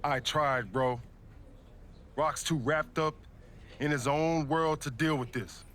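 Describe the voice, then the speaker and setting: A man's voice: fairly high pitch, slow, fairly bright tone, a little hiss or hum. A man playing an earnest American wrestler pleading with his brother in slangy video game dialogue.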